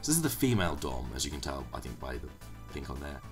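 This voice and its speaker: A young man, hoarse from being ill, giving rambling, casual commentary on a game he is playing. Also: extremely low pitch, sing-song, quick pace, soft background music. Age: young adult. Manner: rambling, self-correcting explanations. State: hoarse from illness. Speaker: let's play commentator.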